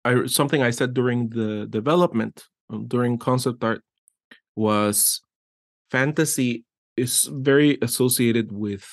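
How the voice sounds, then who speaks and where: A man's voice: mid-range voice, a little slow, no echo. A middle-aged man from Guatemala talks eagerly in a casual interview. He often restarts his sentences and tags them with "right?". His voice sits in a middle range and sounds dry and close to the mic. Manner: enthusiastic, rambling with frequent restarts and "right?" tags. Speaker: art director, interview guest.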